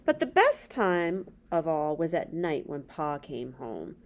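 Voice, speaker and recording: A woman's voice: deep voice, huge pitch swings, slow pace. A middle-aged woman hosting a children's read-aloud, reading a story and adding casual asides. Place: small indoor room with bookshelves.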